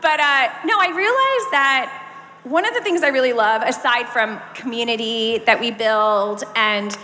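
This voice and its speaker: A woman's voice: on the high side, unhurried. A woman speaking casually and animatedly on a panel as a voice actor, into a handheld microphone on a convention stage. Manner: animated, gesturing. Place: large convention stage, indoors. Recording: echoey hall, some background noise.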